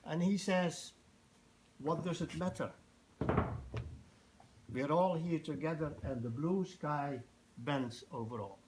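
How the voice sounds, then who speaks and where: Older dutch guy doing a casual talk, kinda halting and anecdotal, some background noise. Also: a little high. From Netherlands. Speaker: festival organiser giving a presentation. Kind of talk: informal public talk.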